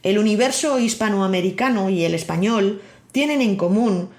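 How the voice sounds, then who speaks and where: Spanish woman host, scripted podcast monologue, dry humor, mid-pitch voice. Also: moderate pace, a little mellow. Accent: Spain Spanish. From Spain. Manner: wry, personal storytelling. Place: living in Miami.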